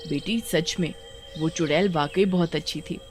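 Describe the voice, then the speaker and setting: A woman voicing a caring, easily amazed village mother with a concerned manner in an animated story. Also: lowish voice, normal speed, soft background music, close to an everyday voice. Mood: pleased.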